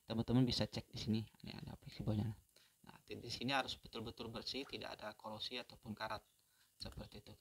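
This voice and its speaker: A man giving an unhurried, step-by-step phone repair tutorial, pausing often with fillers. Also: lowish voice, fairly bright tone, a little hiss or hum.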